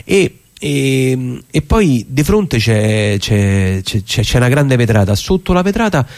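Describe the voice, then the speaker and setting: A man's voice: mid-range voice, sing-song, darkish tone. A man with a Roman accent playing an eager, persuasive younger theatre director in colloquial stage dialogue, often stumbling and repeating his words. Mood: enthusiastic. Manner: halting, repeats words while explaining. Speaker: actor.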